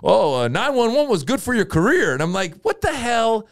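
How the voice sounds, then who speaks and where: A middle-aged man born in Iran, telling animated stories in a casual chat and jumping high when he quotes people. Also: normal speed, no room sound, clean studio sound. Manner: animated storyteller, jumps high for quoted voices. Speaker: comedian guest.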